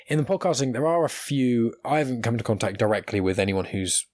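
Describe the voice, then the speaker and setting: A man's voice: mid-range voice, animated, fast, darkish tone, no room sound. A man hosting a casual podcast chat, talkative and rambling as he speaks. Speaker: podcast host.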